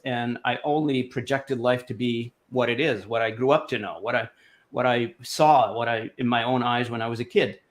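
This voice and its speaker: A man from Lebanon in his fifties, a guest telling his life story in a relaxed interview over a video call, rambling with lots of fillers and restarts. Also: medium pitch.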